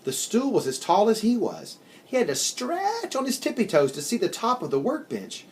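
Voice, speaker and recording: Male narrator for a children's storybook: expressive and animated, deliberate phrasing, slight background noise. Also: sits high. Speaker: story reader.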